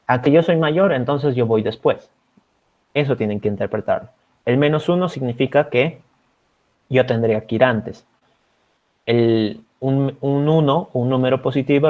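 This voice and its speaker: A man's voice: medium pitch, normal speed. Man explaining code step by step. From Bolivia. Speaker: teaching assistant. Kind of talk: online programming class. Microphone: video call. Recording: slightly dull video-call audio.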